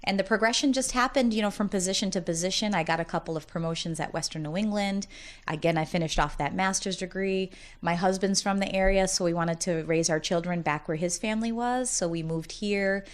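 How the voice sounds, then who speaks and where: Low-voiced chatty woman in her forties. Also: fairly quick. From United States, Massachusetts. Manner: talkative, upbeat storyteller who often laughs while talking. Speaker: college dean. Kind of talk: casual interview conversation.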